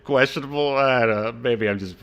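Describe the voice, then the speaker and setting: A man's voice: mid-range voice, fairly quick, fairly bright tone, dull audio. A man co-hosting a casual film discussion podcast, talking in a hesitant, rambling, sardonic way. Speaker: podcast co-host.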